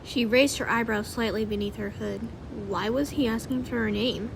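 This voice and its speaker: A woman's voice: on the high side, fairly even intonation, moderate pace, creaky, background noise. A woman narrating a story from a script, as in an audiobook of fan fiction.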